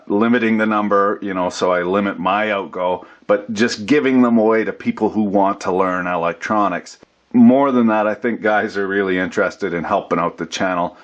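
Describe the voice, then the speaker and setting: A man's voice: lowish voice, lo-fi sound. A middle-aged man hosting a workshop channel, talking casually off the cuff with salty, folksy slang and swearing. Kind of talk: casual, unscripted channel update.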